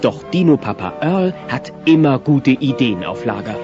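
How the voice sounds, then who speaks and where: Animated male storyteller over music. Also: medium pitch, normal speed. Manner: playfully ironic. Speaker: narrator. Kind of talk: scripted children's story narration. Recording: muffled cassette with music behind.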